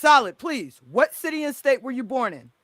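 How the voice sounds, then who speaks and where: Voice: high-pitched, animated, normal speed, no room sound. Debate host hammering points home, dry close sound. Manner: forceful, emphatic, repeats points. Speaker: moderator. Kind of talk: heated panel debate.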